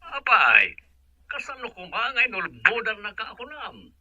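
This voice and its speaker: A man's voice: high voice, huge pitch swings, a little slow, sharp tone, no echo, heavily acted. A man with an Ilocano accent playing an insistent businessman father on the telephone in a radio drama. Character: busy businessman father pressing his son. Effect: telephone filter. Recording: muffled, dry telephone sound.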